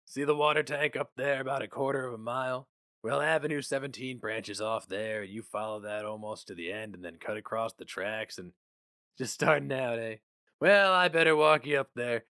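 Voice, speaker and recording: Man doing a folksy old factory veteran, higher-pitched. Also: normal speed, bright, forceful tone, no room sound, studio quality. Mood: chatty, helpful. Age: older. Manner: folksy, wistful, rambling. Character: talkative, kindly, proud old company veteran man.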